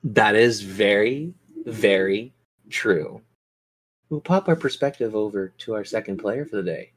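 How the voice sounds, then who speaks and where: A man's voice: on the low side, lively intonation, unhurried. A man narrating a tabletop role-playing game as game master, with theatrical, descriptive storytelling.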